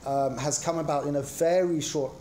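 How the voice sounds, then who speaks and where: A man with an English accent gives an academic conference talk, speaking in a reflective, hesitant and halting way. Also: on the high side, fairly even intonation, dark tone, slight background noise. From England. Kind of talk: academic talk on religion, partly improvised. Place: indoor conference presentation.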